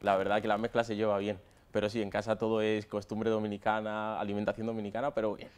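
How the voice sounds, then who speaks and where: Young male footballer, twenty, Madrid accent, smooth voice with fairly flat intonation, chatting in an interview. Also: lowish voice, quick pace. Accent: Madrid Spanish. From Spain, Madrid area. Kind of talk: casual interview answers. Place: stadium dugout with plastic seats.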